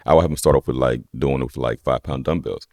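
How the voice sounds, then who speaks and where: Very deep-voiced young man, fast talker. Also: no room sound, studio quality. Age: young adult. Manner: relaxed, rambling, lots of "you know what I'm saying". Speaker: personal trainer, podcast guest. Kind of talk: casual conversation.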